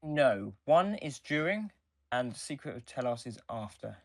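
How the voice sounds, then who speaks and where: A man co-hosting a podcast, giving reviews in a casual, halting chat with sentences that circle back. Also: medium pitch, big pitch swings, gravelly, dry recording, clean studio sound.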